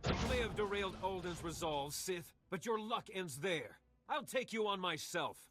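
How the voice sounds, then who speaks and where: An actor playing an earnest, proud Jedi knight with a husky voice in a video game. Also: male voice, high-pitched, lively intonation, moderate pace, a bit bright, slight background noise. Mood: defiant.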